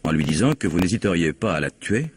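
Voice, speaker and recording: An actor playing an insistent, skeptical police investigator in a radio mystery, probing methodically with formal politeness. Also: male voice, low voice, expressive, fast talker, husky. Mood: accusing. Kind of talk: French radio mystery drama acting.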